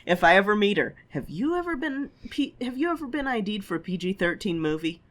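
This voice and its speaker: A woman's voice: medium pitch, sing-song, a little fast, fairly bright tone. A woman chatting casually and bluntly, with some swearing, as a podcast co-host. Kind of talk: casual chat.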